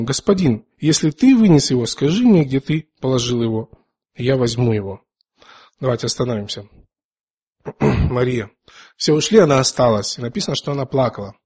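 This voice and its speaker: A man preaching in a conversational, earnest way and reading scripture, pausing often, a little unwell with a dry mouth. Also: medium pitch, a little mellow, husky. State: slightly ill, dry mouth, clearing throat.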